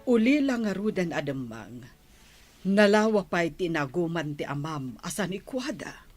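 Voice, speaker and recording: A woman with an Ilocano accent acting a worried, admonishing village mother with a husky voice in a radio drama. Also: lowish voice, very animated, measured pace, a little mellow, soft background music.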